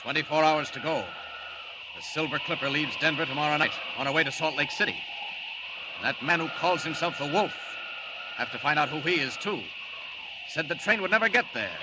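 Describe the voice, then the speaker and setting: Actor as a polite, mild-mannered young reporter, fairly high voice, hissy old radio recording. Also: male voice, expressive, normal speed, natural voice. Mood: determined. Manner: polite, modest, quietly determined. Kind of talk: 1940s radio drama acting.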